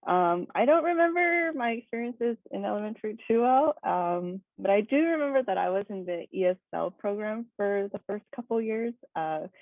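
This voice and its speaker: A young woman from Hawaii speaking as a guest presenter, telling her story in a relaxed, chatty way full of fillers and little laughs. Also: medium pitch, moderate pace, hardly any pauses, no echo. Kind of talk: casual personal talk. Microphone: video call. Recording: dry tinny video call audio.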